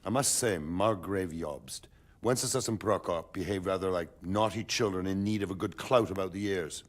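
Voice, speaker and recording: A man's voice: low-pitched, moderate pace. An actor playing a stern, scornful medieval nobleman in a game cutscene. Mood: disapproving. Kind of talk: dramatic video game cutscene acting.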